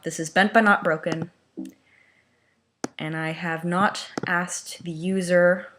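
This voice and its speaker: A woman chatting casually as an amateur reader of fan fiction, self-conscious and given to wry asides. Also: on the low side. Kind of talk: casual chat and dramatic reading with asides.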